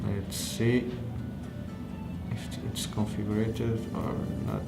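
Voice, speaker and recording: Non-native english guy doing a gadget demo, slow n halting, guitar music behind him. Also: on the low side, sing-song, creaky. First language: not English. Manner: halting, searching for words. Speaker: tech reviewer. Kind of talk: unscripted hands-on product demonstration. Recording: echoey room with music behind.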